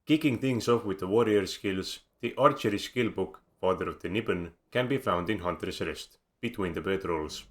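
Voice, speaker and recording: A man with an Estonian accent reads a scripted game guide close to the mic. His voice sits very low, and his delivery is measured with a dry, wry edge. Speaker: video game guide narrator. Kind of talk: scripted voice-over narration.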